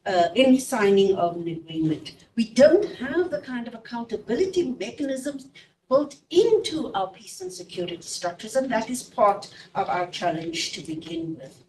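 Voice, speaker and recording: A woman speaking as a seminar panelist, measured and emphatic, with "um" fillers. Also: fairly high pitch, animated, darkish tone. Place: conference hall. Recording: echoey room from far off, faint noise.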